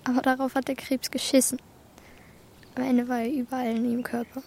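Very high-voiced, blunt young girl. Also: flat delivery, fairly quick, darkish tone, a little hiss or hum. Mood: bitter grief. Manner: blunt, slangy, colloquial. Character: Martha, blunt, bold, grieving young girl. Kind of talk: children's radio play acting.

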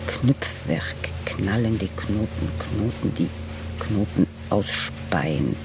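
A man recites literary prose dramatically for a radio sound piece, in deliberate, weighted fragments. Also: fairly low pitch, expressive, creaky. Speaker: reader in a radio sound composition. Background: music.

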